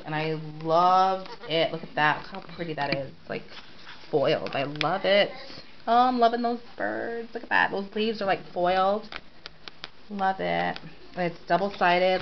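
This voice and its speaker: Woman, congested and sniffly, gushing home crafter, low-ish voice, recorded on a muffled camera mic with a steady hiss. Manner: gushing, repeats "so cute" and "I love it". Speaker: crafting vlogger. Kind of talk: casual product haul show-and-tell. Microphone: handheld camera filming close-up. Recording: dull lo-fi home camera audio with clear hiss.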